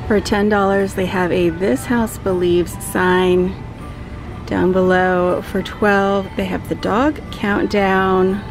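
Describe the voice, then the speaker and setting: A woman vlogger walking a store aisle with her phone, calmly listing decor items and their prices. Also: medium pitch. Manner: calm, listing prices item by item. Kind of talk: shop-with-me narration. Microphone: handheld phone camera.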